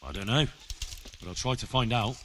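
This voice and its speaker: A man's voice: medium pitch, brisk, bright, forceful tone, slight background noise, natural voice. An actor playing an earnest, inquisitive young medieval man in video game dialogue, polite and plain-spoken.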